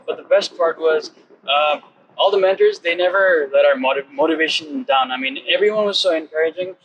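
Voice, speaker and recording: A man's voice: fairly high pitch, fairly quick, highs cut off, a little hiss or hum. A man in his twenties from Nepal with a Nepali accent, answering questions casually as an interview guest. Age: 20s. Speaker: interview guest, program alumnus.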